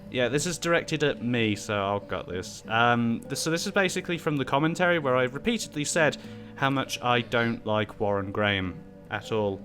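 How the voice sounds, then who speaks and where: A man's voice: mid-range voice, normal speed, hardly any pauses, a bit bright, faint music behind. A man hosting a casual Q&A with friends, reading out viewer questions in a dry, teasing way.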